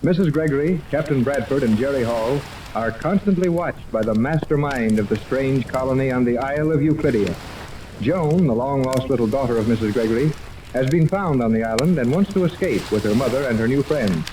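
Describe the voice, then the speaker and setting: A man narrates a vintage radio serial in a formal, dramatic announcer's delivery. He sounds slightly off the mic, in a clearly noisy old recording. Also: mid-range voice, fairly quick, far from the mic. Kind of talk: 1930s radio scripted narration.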